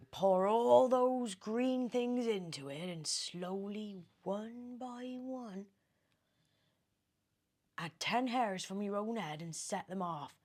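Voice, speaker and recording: A British woman voicing a mysterious, excitable old man in a storybook, speaking in a theatrical, commanding, drawn-out way. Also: mid-range voice, pitched above the performer's own voice. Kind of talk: animated storybook character reading.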